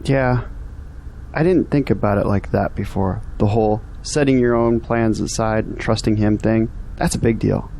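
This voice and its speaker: An actor playing a hesitant, humble young son in an audio drama. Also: male voice, lowish voice, fairly even intonation, normal speed, creaky, mellow, slight background noise. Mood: unsure, humble. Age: young adult. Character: young, unsure, dutiful son seeking guidance.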